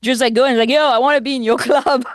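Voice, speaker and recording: Woman, French native speaker, young adult, podcast co-host, animated chat, quite high voice, dry sound. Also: fast, no echo. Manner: animated, laughs often, many restarts. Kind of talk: casual chat.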